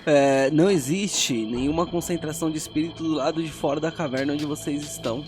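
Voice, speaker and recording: A man narrating a tabletop fantasy story as game master, in a grave, deliberate storytelling manner. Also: fairly high pitch. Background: faint music.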